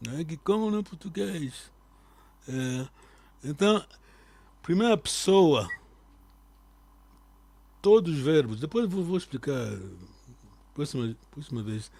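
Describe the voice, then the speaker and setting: A male language teacher leading an online class. His voice sits a little high and sounds dry and close to the mic. He is patient, quizzes his students and slows down on each new word. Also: lively intonation, mellow, no echo. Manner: patient, quizzing students, repeating key words slowly. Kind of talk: live online language lesson.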